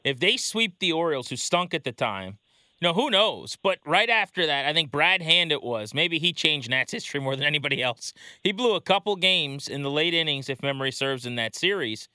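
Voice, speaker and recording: A man's voice: on the high side, expressive, fairly quick, bright, forceful tone, clear, resonant tone, no room sound. An American man hosting a baseball show, interviewing in a casual, conversational way.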